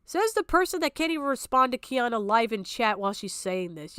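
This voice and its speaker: A woman's voice: mid-range voice, a bit bright, no room sound, clean studio sound. A woman streamer giving heated off-the-cuff commentary, blunt and emphatic, swearing freely and repeating herself to make her point. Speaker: streamer reacting to a recorded stream.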